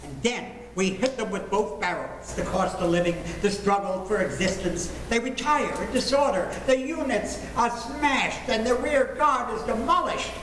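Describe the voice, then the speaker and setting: A man's voice: very high voice, expressive, moderate pace, few pauses, fairly bright tone. An older amateur actor playing a blustering, sarcastic family father in an old-time radio comedy, hemming and stammering. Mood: pompous. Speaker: amateur actor in a senior theater class. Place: live filmed stage reenactment. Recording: echoey room, faint noise.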